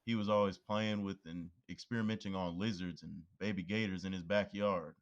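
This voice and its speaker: A man voices a laid-back young cop who teases his friend in an easygoing way. His voice sits fairly low. He sounds like a character in an audio drama. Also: steady tone, brisk, dull audio. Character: joking young male police officer.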